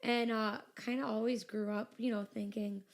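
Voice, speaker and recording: A young woman talks openly as a podcast guest, her voice pitched a little high. A gravelly fry runs under her words, and she keeps filling them with "like" and "you know". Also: steady tone, moderate pace. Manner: chatty, lots of "like" and "you know". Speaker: business owner, guest. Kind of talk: casual interview chat.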